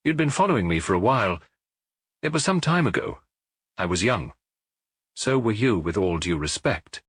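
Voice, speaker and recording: A man's voice: fairly low pitch, big pitch swings, normal speed, fairly bright tone, slightly rough, no room sound, full character voice. A man voicing a jovial, garrulous former pickpocket, no longer young, in an audiobook detective story. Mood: merry, familiar. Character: merry, chatty ex-pickpocket, a reformed petty crook past his youth.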